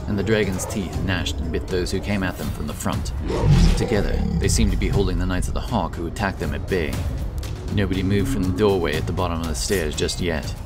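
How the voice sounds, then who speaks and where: A man's voice: sits low, distant mic. A man giving a dramatic scripted narration of a fantasy story. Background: loud epic background music.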